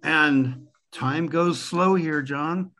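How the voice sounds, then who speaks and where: A man in his sixties from Pennsylvania with an American accent, a guest on a podcast telling relaxed, rambling stories with the odd chuckle. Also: on the high side, very slow. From Pennsylvania, USA. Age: 60s. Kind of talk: relaxed conversational storytelling.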